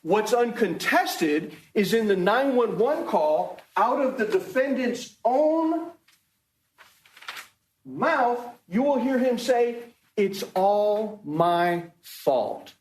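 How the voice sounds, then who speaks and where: A man speaking as a prosecutor to a jury, making an emphatic, deliberate closing argument with repeated phrases, recorded in a courtroom. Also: sits high, expressive, darkish tone, echoey room. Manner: emphatic, deliberate, repetitive rhetoric. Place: indoor courtroom.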